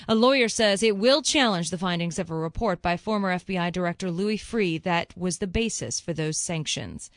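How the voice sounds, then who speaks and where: Woman, American accent, radio news anchor, scripted delivery, mid pitch, close dry studio recording. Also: fairly bright tone, no room sound.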